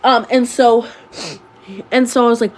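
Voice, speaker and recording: A young woman sounds stuffed-up from a cold. She tells her story in an animated, sarcastic way and leans into the drama. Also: on the high side, a little slow. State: sick, congested. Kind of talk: storytime vlog.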